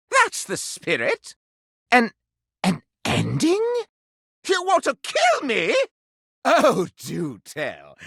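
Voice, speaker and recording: A living silver candelabra from a video game, vain and hungry for gossip. The voice is bright and piercing, leaping wildly up and down with theatrical melodrama. Every word is florid and over-enunciated with snobbish aristocratic airs, recorded close and dry. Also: male voice, sits high, no echo, heavily acted. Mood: gossipy, haughty.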